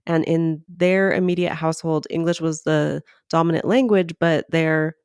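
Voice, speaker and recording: A woman's voice: low-pitched, fairly even intonation, normal speed, darkish tone, clean tone, no echo, clean studio sound. A woman co-hosting a podcast, giving advice in a conversational, explanatory way that leans on "like" and "right?". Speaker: podcast co-host.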